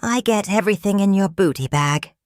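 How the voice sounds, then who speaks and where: A woman's voice: medium pitch, a little fast, a little mellow, dry recording, studio quality, lightly acted. A woman voicing a shrewd male pirate prisoner with a teasing, challenging manner in a children's story.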